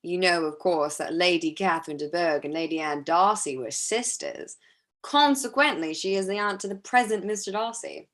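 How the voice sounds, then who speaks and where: A woman playing a charming, aggrieved young gentleman in a staged period drama reading, confiding and theatrical. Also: on the low side, normal speed, natural voice. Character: Mister Wickham, charming aggrieved young gentleman, smoothly self-pitying.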